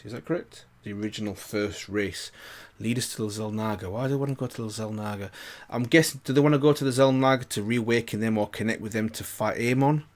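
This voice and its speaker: A man hosting a reaction video, casually commenting on game cutscenes and musing half to himself. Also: on the low side.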